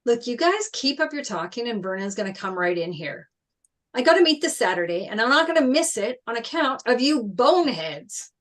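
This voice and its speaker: A woman's voice: on the high side, very animated, brisk. A woman playing an indignant teenage boy wrestler in a script table read, blunt and confrontational. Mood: stern, annoyed.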